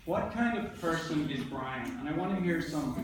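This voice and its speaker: A man's voice: fairly high pitch, lively intonation, moderate pace. A man teaching second grade, leading a read-aloud discussion, prompting pupils and often repeating their answers and his questions. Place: classroom. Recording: echoey, noisy room, distant mic.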